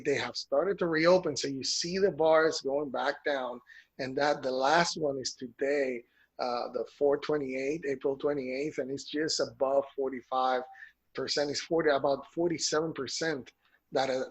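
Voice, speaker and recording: Husky man walking through a chart. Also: mid-range voice, rough recording. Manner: explains a chart step by step, with restarts. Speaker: co-host of a golf news show. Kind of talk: casual chat.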